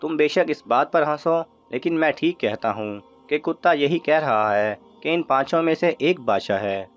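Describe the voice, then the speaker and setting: A man's voice: on the high side, expressive, lightly acted. A man voicing an earnest, insistent thief in a children's storybook tale. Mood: amazed, insistent. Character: earnest, insistent male thief who understands dogs. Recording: muffled audio with music underneath.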